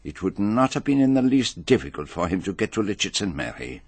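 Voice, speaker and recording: A courteous, self-assured detective in a radio mystery, his husky voice rising and falling expressively. He phrases everything with fussy precision and theatrical deliberation, close and dry on the microphone. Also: on the low side, big pitch swings, brisk, no echo. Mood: measured, confiding. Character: fastidious, self-assured male foreign detective, courteous and methodical. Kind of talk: British radio drama acting.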